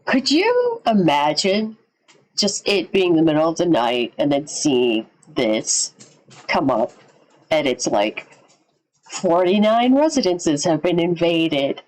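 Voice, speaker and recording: A woman giving casual live reaction commentary as a streamer, informal and sweary as she thinks out loud. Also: sits low, very animated, takes it slowly, low-quality recording. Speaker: streamer reacting to and analysing horror videos.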